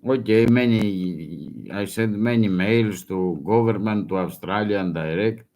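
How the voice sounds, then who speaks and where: A man whose first language is Greek, speaking broken English in a halting, urgent warning with many restarts. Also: fairly low pitch, darkish tone. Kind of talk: halting self-recorded warning.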